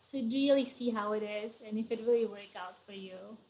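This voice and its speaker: A woman's voice: mid-range voice, a little fast, a little mellow, rough recording. A woman co-hosting a casual live stream, greeting viewers by name and chatting in an easygoing way full of "like". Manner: friendly, greets viewers by name, uses "like" often.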